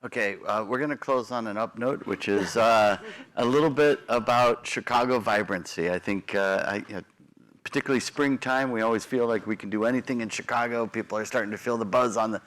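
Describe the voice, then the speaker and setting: A man's voice: on the low side, fairly quick, a bit bright. A man moderating a business panel discussion, conversational and loose, with some restarts as he frames questions.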